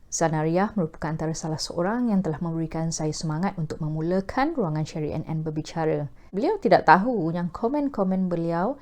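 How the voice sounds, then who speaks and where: Casting: Malaysian female host, soft mellow tone, formal and measured newsreader delivery to camera. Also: deep voice, lively intonation. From Perak, Malaysia. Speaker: host of a talk video series. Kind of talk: formal scripted presentation to camera.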